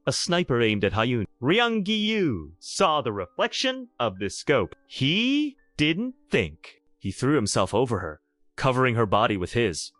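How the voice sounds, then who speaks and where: A man's voice: mid-range voice, very animated, unhurried, fairly bright tone, no echo, soft background music. A man narrating a scripted romantic drama in a theatrical, emphatic storytelling style.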